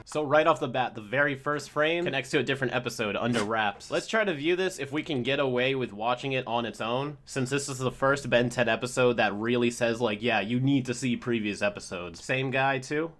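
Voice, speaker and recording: A man's voice: medium pitch, brisk, few pauses, fairly bright tone, slight background noise. A man hosting a cartoon review with casual, nitpicky, informal commentary that includes swearing. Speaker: host of cartoon review videos. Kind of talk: casual scripted episode commentary.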